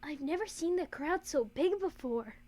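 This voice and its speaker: A woman's voice: high-pitched, expressive, moderate pace, dark tone. A woman voicing a small, polite, naive young female pony apprentice in an audio drama. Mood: eager, naive.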